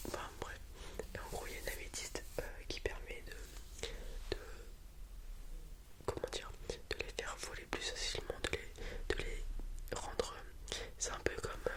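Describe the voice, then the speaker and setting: A person whispering an improvised ASMR roleplay as a calm dragon breeder advising a customer, with a hesitant, soft way of explaining. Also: a little slow, pauses often. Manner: hesitant, improvised explanations, softly addressing the listener.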